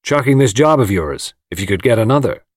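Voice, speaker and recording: Persuasive, hearty chum, played by a male narrator, lively intonation, slightly lifted pitch, blunt storybook adventurer. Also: brisk, no room sound, clean studio sound, close to an everyday voice. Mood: chummy. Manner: blunt, chummy. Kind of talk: audiobook character voice.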